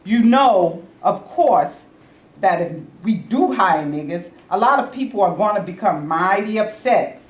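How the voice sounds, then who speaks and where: A woman's voice: medium pitch, animated, measured pace, close to an everyday voice. A woman in a staged reading playing an exasperated, complaining male steel company president of the 1920s. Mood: worried, doubtful. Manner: complaining, insistent. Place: live staged reading in a room. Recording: echoey room.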